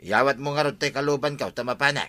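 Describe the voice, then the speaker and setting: A man playing a scheming, easygoing village husband in a comedic radio drama, muttering colloquially with drawn-out interjections. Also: medium pitch, pushed and bright, close to an everyday voice. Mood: insistent.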